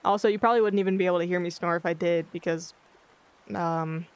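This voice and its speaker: A livestreamer chatting casually with viewers in a monotone, blunt-sounding way, often losing their train of thought. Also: medium pitch, fast talker, clear, resonant tone. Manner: rambling, loses track of thoughts. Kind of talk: casual chat with live viewers. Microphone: filtered streaming mic.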